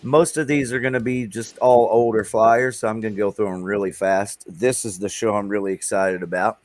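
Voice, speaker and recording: A man's voice: lowish voice, steady tone, moderate pace, a little mellow. A middle-aged man, hosting a local music show in a rambling, enthusiastic way. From Texas. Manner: rambling, enthusiastic, with fillers and restarts. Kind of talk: casual livestream chat.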